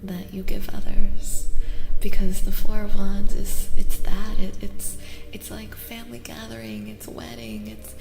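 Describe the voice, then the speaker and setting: A woman speaks softly and often drops into a whisper, as in a sleepy ASMR tarot stream. Her delivery is slow and reassuring, with gentle repetition. Her voice carries a long, echoing reverb, and music plays clearly behind her. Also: medium pitch, animated. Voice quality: soft, often breathing into whisper. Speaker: streamer giving tarot readings. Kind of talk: soft-spoken ASMR tarot reading.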